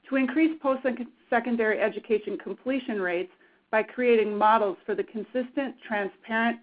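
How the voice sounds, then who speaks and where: Woman on a tinny phone line. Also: medium pitch. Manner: brisk, formal, reads from notes. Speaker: webinar moderator. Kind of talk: reading a scripted introduction.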